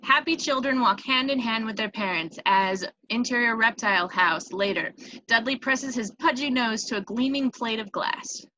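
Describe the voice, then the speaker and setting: A woman's voice: fairly high pitch, moderate pace, bright, forceful tone, muffled recording. A woman reading screenplay stage directions as narrator, with small stumbles, on a noisy home recording with clicks.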